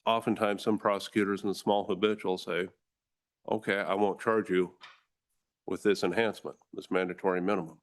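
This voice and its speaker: Deep-voiced forceful American man testifying. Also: flat delivery, fairly quick. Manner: forceful, earnest, addresses the room as ladies and gentlemen. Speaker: district attorney testifying. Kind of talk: formal testimony to a legislative committee.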